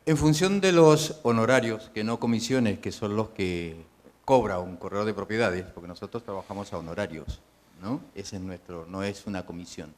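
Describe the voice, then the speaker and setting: A man from Spain and Chile, a real estate broker, asking a deliberate question from the audience. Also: lowish voice.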